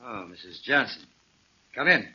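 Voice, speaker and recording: A man's voice: fairly low pitch, expressive, normal speed, very noisy, natural voice. An actor playing a cocky, bossy, short-tempered gunman in a 1940s radio crime drama. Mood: businesslike. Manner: brash, tough-talking, bullying. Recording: muffled vintage 1940s radio recording.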